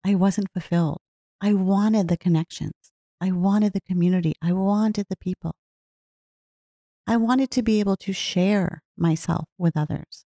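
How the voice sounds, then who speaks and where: A woman speaking in an earnest, heartfelt way, reflecting slowly on her own life. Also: mid-range voice, warm tone, no room sound, studio quality. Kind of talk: reflective personal talk.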